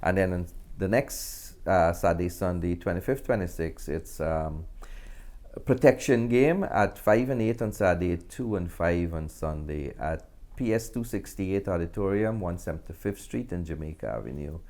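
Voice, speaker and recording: Interview guest: male, middle-aged, Guyanese accent, lower register, reflective and conversational. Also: lively intonation, moderate pace, a little mellow. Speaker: interview guest, actor and filmmaker.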